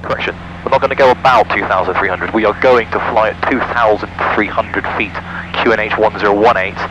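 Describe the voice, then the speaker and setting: A young man flying a small plane, calmly talking through his checklists over a headset mic. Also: on the low side, brisk, lo-fi sound, noisy background. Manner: calm, methodical checklist talk. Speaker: pilot. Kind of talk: flight vlog commentary. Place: small aircraft cockpit. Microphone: headset boom mic.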